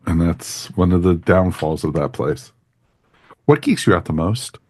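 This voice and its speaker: Deep voiced guy doing a relaxed podcast interview, really dry recording. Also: measured pace, slightly rough, dry recording. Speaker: podcast host asking questions. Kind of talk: casual interview chat.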